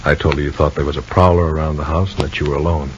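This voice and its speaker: A man's voice: rumbling low, steady tone, very fast. An actor playing an easygoing, protective married man in a 1950s radio soap opera, talking in a folksy, conversational way. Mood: gently concerned. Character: Bill, easygoing married man and protective older-style brother. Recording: dull, noisy old radio recording.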